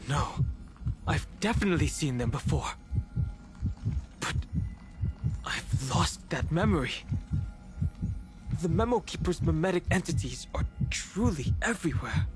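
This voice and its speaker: A man voicing a stoic, earnest young guard in an anime game, speaking in a measured, formal, serious way. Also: a little high, animated. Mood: wary, puzzled.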